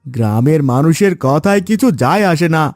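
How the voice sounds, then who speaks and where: A man's voice: on the high side, animated, normal speed, no echo, studio quality, faint music behind, natural voice. A man voicing an exasperated village farmer husband in a cartoon fairy tale, with a theatrical, complaining delivery. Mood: firm, insistent.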